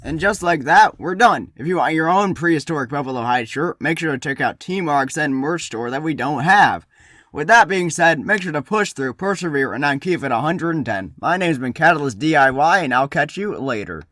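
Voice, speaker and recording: Brash, cheesy DIY vlogger bro, played by a man, raised pitch, loud parody hype, dry close sound. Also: lively intonation, a little fast, dry recording. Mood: hyped, brash. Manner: bro-like, shouty slang-filled hype. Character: hyped-up cheesy male DIY video host. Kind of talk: parody DIY vlog intro and outro.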